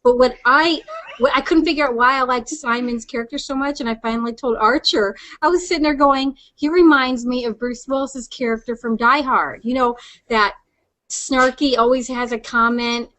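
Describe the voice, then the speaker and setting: A woman's voice: on the high side, steady tone, normal speed, fairly bright tone, low-quality recording. A woman hosting a casual podcast interview, chatty and outspoken in the way she talks. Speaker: podcast host.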